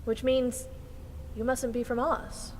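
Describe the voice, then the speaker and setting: A woman's voice: on the high side, little pitch movement, slow pace, darkish tone, slight background noise. A woman playing an earnest, outspoken young girl in a fantasy audio drama, speaking in a challenging way. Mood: dawning realisation. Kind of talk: full-cast fantasy audio drama acting. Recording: long reverb, music behind.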